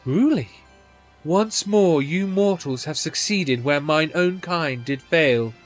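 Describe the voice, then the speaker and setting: A man's voice: on the high side, takes it slowly, soft background music. A man voicing a proud ancient dragon envoy in a video game, speaking in solemn, archaic, formal phrases. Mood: solemn, stately. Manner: formal archaic speech, stately.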